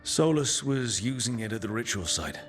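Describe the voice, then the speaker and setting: A man playing an earnest, level-headed hero in a cinematic fantasy game, speaking in a measured, wry, conversational way. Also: medium pitch, expressive, a bit bright, natural voice. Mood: concerned. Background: music.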